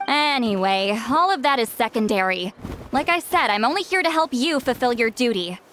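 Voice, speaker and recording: A woman's voice: fairly high pitch, lively intonation, normal speed, fairly bright tone, a little hiss or hum. A woman voicing a proud, prickly great shaman in a video game, haughty, defensive and theatrical. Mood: flustered, defensive.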